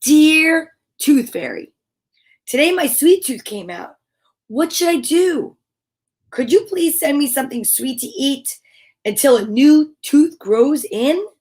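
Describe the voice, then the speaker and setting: A woman voicing an excitable, demanding little girl in a storybook, exclamatory and theatrical. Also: high voice, takes it slowly. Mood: hopeful.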